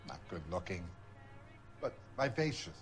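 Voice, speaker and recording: A man's voice: sits low, very animated, a little slow, soft background music. A man playing a middle-aged, leering diner cook in a video game, talking in an offhand, suggestive way. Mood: wistful, leering. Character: older male diner cook, leering, world-weary. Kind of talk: naturalistic video game acting.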